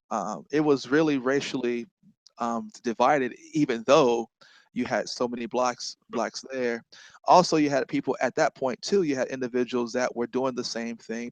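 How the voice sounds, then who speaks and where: A man's voice: mid-range voice, no room sound, poor audio. A middle-aged man from North Carolina with a Southern accent, guesting in a casual interview. He talks earnestly, repeats words and often tags "right?" onto his points.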